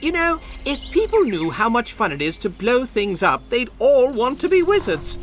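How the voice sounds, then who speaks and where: An actor playing a witty, confident desert wizard in a video game, with a breezy, theatrical, wry delivery. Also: male voice, very high voice, wildly swooping pitch, normal speed, over music, heavily acted. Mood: amused, excited. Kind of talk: video game cutscene acting.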